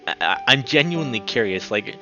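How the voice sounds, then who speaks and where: A man's voice: mid-range voice, very animated, brisk. A man giving chatty, exclamatory commentary as he plays through a visual novel. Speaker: streamer playing a visual novel. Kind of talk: gameplay commentary and reading dialogue aloud. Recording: dull, lo-fi mic with music behind. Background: guitar game music.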